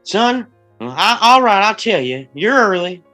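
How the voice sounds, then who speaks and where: A woman's voice: mid-range voice, big pitch swings, unhurried, fairly bright tone, soft background music. A woman playing a friendly, slightly drunk forty-year-old man, a small-town mayor who stammers and rambles in a screenplay table read. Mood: folksy, tipsy.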